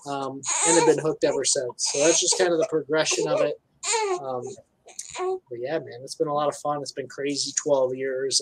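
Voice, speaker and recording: A man's voice: on the high side, rough recording. A thirty-year-old man chatting casually as a guest on a live video call from home, laid-back and colloquial. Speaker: guest angler. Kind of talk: casual chat.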